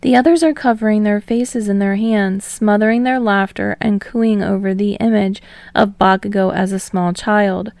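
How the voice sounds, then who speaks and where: Creaky-voiced woman reading fiction. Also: mid-range voice, steady tone, normal speed, a little mellow, no room sound. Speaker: podfic narrator. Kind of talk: expressive fiction narration with casual sign-off.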